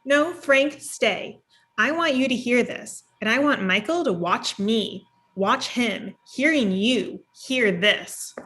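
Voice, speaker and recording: Woman playing bossy bitter ex, thirties, high bright voice, blunt and demanding. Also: takes it slowly. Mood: bitter, accusing. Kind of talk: live table read comedy-drama acting.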